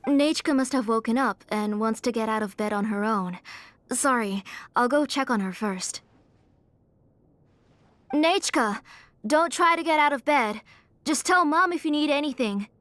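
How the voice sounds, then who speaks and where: Caring mother, gentle, apologetic, soft reassuring delivery, slightly high voice, dry studio sound, video game. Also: lively intonation, unhurried, long pauses, no room sound. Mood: tender concern. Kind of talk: scripted video game dialogue.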